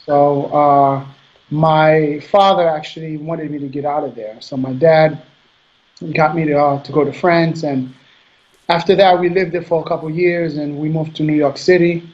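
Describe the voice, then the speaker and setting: Hesitant soft-spoken man from Haiti. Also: on the high side, level tone, moderate pace, lo-fi sound. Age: 30s. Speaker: guest bodybuilder. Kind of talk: casual interview answers.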